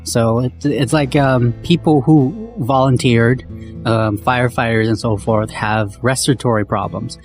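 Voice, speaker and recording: Podcast co-host, man, hoarse from poor sleep, rambling, faint music under. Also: medium pitch, measured pace. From Canada. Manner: rambling, loose, many fillers and restarts. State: voice rough from lack of sleep. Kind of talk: casual chat.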